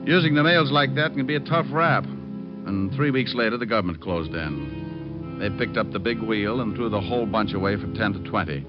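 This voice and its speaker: A man's voice: fairly low pitch, moderate pace, close to an everyday voice. A man playing an easygoing, wisecracking private detective in 1940s-style radio acting, with wry, breezy delivery. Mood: matter-of-fact narration. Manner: wry, breezy first-person narration and banter. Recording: dull vintage radio broadcast with music.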